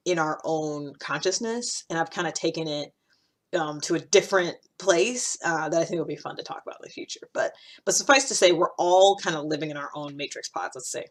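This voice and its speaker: An American woman in her thirties giving informal, unscripted advice to camera, emphatically repeating key points for stress. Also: deep voice. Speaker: podcast host giving self-improvement advice. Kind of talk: casual, unscripted talk to camera.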